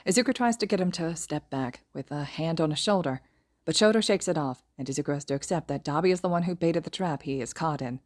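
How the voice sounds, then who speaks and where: A woman narrating a fan fiction audiobook in steady, even reading. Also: sits low, fast talker. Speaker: podfic reader.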